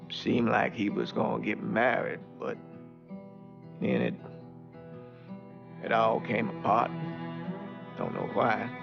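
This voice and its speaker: Slow, weary old priest. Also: male voice, medium pitch, vocal fry. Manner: slow, halting, grave. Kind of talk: dramatic video game acting. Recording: dull lo-fi sound with music underneath.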